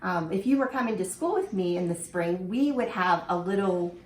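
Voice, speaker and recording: A woman teacher reading a picture book aloud to children in an animated way, stopping to ask them questions. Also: on the low side, normal speed, a little hiss or hum. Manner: animated, asks the listener questions. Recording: echoey room with faint ambience.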